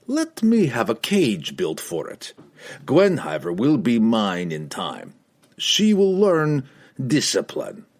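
A cruel fantasy guildmaster, acted out by a male narrator in a husky voice that swings wildly in pitch. He is imperious and volatile, dragging his words out slowly with theatrical menace, as a storybook villain commanding his underlings. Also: wildly swooping pitch, exaggerated voice. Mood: scheming. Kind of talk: fantasy audiobook character acting.

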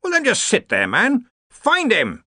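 A man voicing a gruff, bossy older head wizard who blusters and commands. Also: on the high side, huge pitch swings, a little fast, sharp tone, no room sound, studio quality, voice pushed up, heavily acted. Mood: blustering.

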